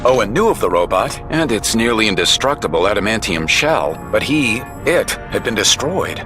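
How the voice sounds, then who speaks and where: A man's voice: on the low side, very animated, normal speed, over music. A man giving dramatic scripted narration of a story as its narrator.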